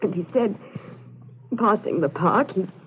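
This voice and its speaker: Distraught daughter played by an actress, halting and pleading, 1940s radio drama style, very narrow tinny sound with faint noise. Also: female voice, mid-range voice, lively intonation, lightly acted. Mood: halting, tearful. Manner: pleading, halting, repeats herself. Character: distraught, frightened daughter confessing to her stern father.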